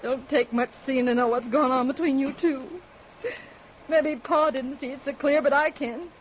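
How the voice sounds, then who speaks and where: A woman's voice: high-pitched, fairly even intonation, normal speed, close to an everyday voice. A woman acting in an old-time radio drama as a grieving, defiant country girl with accusing, folksy speech. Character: defiant, grieving backwoods girl, skinny daughter. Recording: muffled, noisy old radio recording.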